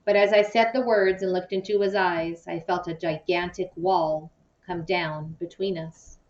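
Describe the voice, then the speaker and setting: Low-voiced woman reading aloud, slightly distant. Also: a little slow. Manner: animated, acts out dialogue. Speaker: audiobook-style reader. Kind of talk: expressive read-aloud of a children's novel. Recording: distant, muffled microphone.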